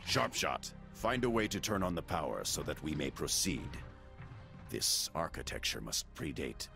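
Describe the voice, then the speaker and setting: An actor playing a cold, analytical robot scientist in a video game, with formal, clipped and aloof diction. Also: male voice, extremely low pitch, big pitch swings, moderate pace, vocal fry, pushed and bright, faint music behind, heavily acted. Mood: fascinated.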